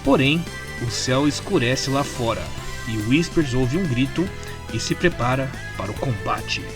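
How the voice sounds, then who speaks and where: A man's voice: medium pitch, animated, moderate pace. A Brazilian man with a Brazilian Portuguese accent narrating a comic story in an informal storytelling style. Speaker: narrator of a fan comic dub. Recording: music behind the voice. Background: background music.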